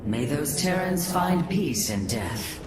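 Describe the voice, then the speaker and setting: An actress playing a stern, dutiful alien commander in a sci-fi game, formal and composed. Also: female voice, sits low, expressive, slow. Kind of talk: dramatic sci-fi game cutscene acting. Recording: echoey, distant, very noisy ambience.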